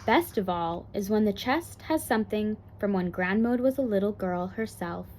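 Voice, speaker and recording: A woman's voice: medium pitch, animated, normal speed, darkish tone, slight background noise. A woman narrating a children's picture book aloud as a bedtime story. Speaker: storybook reader.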